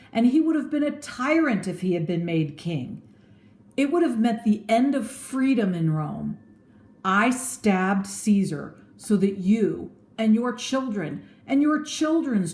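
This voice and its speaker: A woman's voice: mid-range voice, darkish tone. Woman as Brutus, earnest Roman statesman, echoey room. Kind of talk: storybook read-aloud with theatrical oratory.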